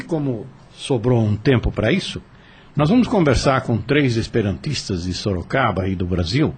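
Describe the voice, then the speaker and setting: A husky-voiced older man with a Brazilian accent hosts a radio discussion. He sits at an average pitch and explains things haltingly, often repeating words as he goes. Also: a little mellow. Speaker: radio host.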